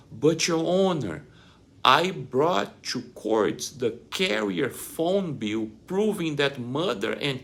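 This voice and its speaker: A man in his forties giving a scripted explainer straight to the viewer, deliberate and emphatic, pausing between short phrases. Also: medium pitch, vocal fry, fairly bright tone. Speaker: presenter of divorce self-help videos. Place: indoors, small plain room.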